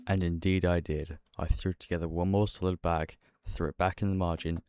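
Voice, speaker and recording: A man's voice: low voice, flat delivery, fairly quick, a little mellow, clear, resonant tone, dry recording, clean studio sound. A man with a British accent talking casually as an angler making a fishing vlog. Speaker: angler and vlogger.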